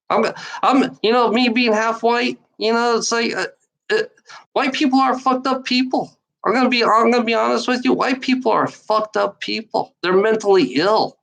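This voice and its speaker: A woman delivering an angry, profane monologue to the camera, repeating phrases and restarting sentences often. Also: medium pitch. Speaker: online commenter.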